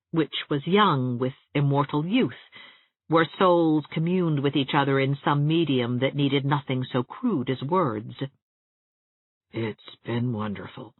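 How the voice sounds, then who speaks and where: A woman narrating a classic novel as an audiobook, in a measured, lyrical reading. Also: low-pitched, no echo. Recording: tinny phone-like sound.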